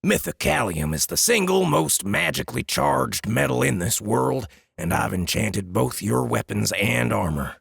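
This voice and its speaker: A man playing a boastful, hearty dwarf smith in a fantasy audiobook, with a booming, gravelly voice and a smug manner. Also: expressive, measured pace, hardly any pauses, fairly bright tone, dry recording, clean studio sound, heavily acted. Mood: smug, proud. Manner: smug, bragging. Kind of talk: dramatized fantasy audiobook acting.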